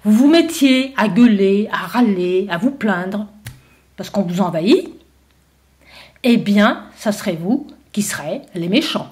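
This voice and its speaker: A woman's voice: medium pitch, expressive, unhurried, darkish tone, close to an everyday voice. A woman voicing an arrogant, bullying American envoy who lectures in a self-assured, emphatic way. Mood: threatening.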